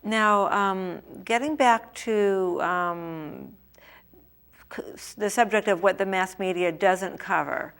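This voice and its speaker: A woman's voice: medium pitch, takes it slowly, fairly bright tone, clear, resonant tone. A woman hosting a talk show interview, asking questions and murmuring encouragement. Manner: encouraging, frequent affirming murmurs. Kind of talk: conversational interview.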